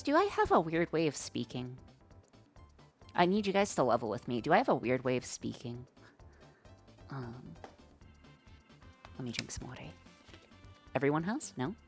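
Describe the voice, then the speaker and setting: A woman with a strikingly deep voice for her sex, talking her way through code on a live stream. She muses aloud, drops slang and fillers, and chats loosely with her audience. Faint music plays behind her. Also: big pitch swings, measured pace, pauses often, breathy edge. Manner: thinks aloud while coding, slangy and chatty. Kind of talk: live-stream coding chat.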